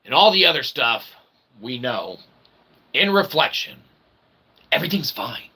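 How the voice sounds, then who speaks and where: A man's voice: medium pitch, very animated, unhurried, pushed and bright, a little hiss or hum. A man hosting a rambling comedic livestream in a mock-pompous manner, using grandiose made-up words and repeated catchphrases. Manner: repeats stock catchphrases and tags like "okay?". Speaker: streamer and channel host.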